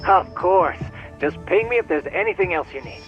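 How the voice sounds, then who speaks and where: A man's voice: sits high, animated, normal speed, faint music behind. An actor playing a polite, geeky male tech sleuth in a video game, formal and wordy on a phone call. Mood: polite, keen. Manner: formal, wordy, slightly theatrical. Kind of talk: video game cutscene dialogue.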